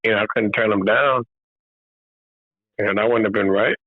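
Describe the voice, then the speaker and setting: A man being interviewed as a boxing trainer over a video call, talking in a relaxed way with many "you know"s. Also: on the low side, moderate pace, no room sound. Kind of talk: casual remote interview. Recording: tinny phone-like call audio.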